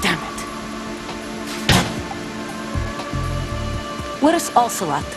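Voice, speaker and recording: A woman's voice: mid-range voice, big pitch swings, fairly quick, loud music behind the voice, natural voice. An actress playing a hard, bitter Russian woman soldier who leads mercenaries, curt and defiant, in a video game cutscene. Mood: bitter, distrustful.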